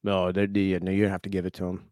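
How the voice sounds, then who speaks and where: A man's voice: low voice, completely flat delivery, quick pace, darkish tone, clear, resonant tone, no echo, clean studio sound. An American man co-hosting a sports podcast in casual banter, blunt, slangy and opinionated.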